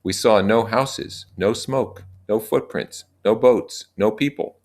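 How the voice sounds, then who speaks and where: A man's voice: on the low side, takes it slowly, a little mellow, voice pushed up. A man voicing an earnest, reasonable boy leader who declares things in short, plain statements, in a storybook reading.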